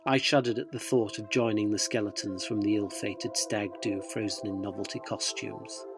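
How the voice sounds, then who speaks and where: A man's voice: lowish voice, steady tone, moderate pace, music in the background, close to an everyday voice. An actor playing a sceptical, wry interviewer, narrating his surreal expedition in dry, wordy radio drama style. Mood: wry, uneasy.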